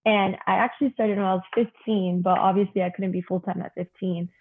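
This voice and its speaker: Woman, early twenties, youthful husky voice, chatty guest, heard over a tinny line. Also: mid-range voice, fairly even intonation, fairly quick. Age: 20s. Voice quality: young-sounding voice. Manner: chatty, frequent "you know" fillers. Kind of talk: casual interview talk.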